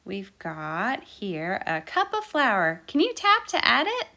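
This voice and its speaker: Woman, children's host, very animated pitch, slow pace, encouraging sing-song delivery. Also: creaky. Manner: encouraging, sing-song instructions with drawn-out, repeated words. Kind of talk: interactive children's baking lesson with counting and singing.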